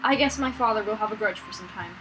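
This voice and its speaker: A young woman who hosts a let's play, reading a game aloud and chatting casually in a giggly, excitable way with plenty of swearing. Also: medium pitch, quick pace. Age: young adult. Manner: giggly, excitable, foul-mouthed. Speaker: let's play channel host. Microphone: built-in or fallback mic, not the intended one. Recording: noisy, lo-fi fallback room mic.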